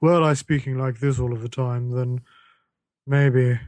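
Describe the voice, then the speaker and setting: A man's voice: medium pitch, fairly even intonation, a little slow, a little mellow, dry recording. An English man hosting a podcast in a casual comic rant, sweary, scornful and sarcastic. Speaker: podcast host.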